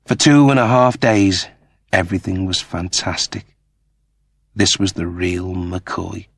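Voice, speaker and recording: A man's voice: sits low, steady tone, takes it slowly, breathy edge, no echo, studio quality. A man narrating scripted science fiction as a dramatic audiobook reader.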